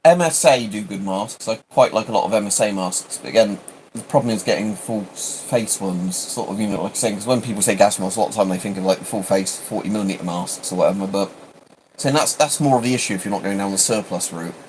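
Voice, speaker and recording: A man's voice: fairly low pitch, fast, darkish tone, slight background noise, rough recording. A British man chatting casually on a livestream, rambling and hesitant, often interrupting himself. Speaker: livestream host.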